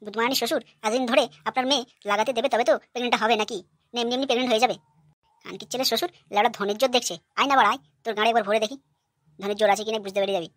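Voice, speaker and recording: A woman's voice: a little high, fairly even intonation, rapid-fire, no room sound, natural voice. A woman voicing a hot-tempered, aggrieved young son-in-law who rants brashly in a cartoon comedy. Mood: angry, ranting. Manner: brash, ranting.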